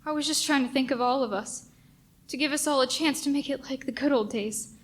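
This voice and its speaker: A woman's voice: sits high, a little fast, close to an everyday voice. A woman acting a remorseful, anxious male radio staffer who speaks hesitantly and earnestly in a radio play. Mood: anguished. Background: music.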